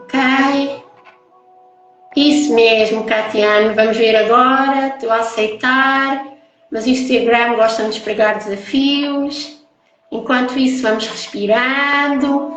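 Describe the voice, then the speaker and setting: A woman's voice: high-pitched, fairly bright tone, highs cut off. A woman with a European Portuguese accent hosting a casual live-stream chat as a holistic therapist. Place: echoey indoor room, online live call. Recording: very echoey room, live stream.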